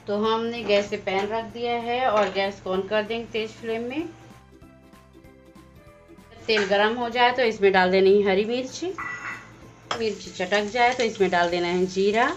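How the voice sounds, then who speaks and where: A woman hosting a home cooking channel, calmly explaining each step of a recipe as she goes. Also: mid-range voice, measured pace, long pauses. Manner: plain, instructive, walks through each step. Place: home kitchen at the stove. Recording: slightly dull lo-fi sound with faint music.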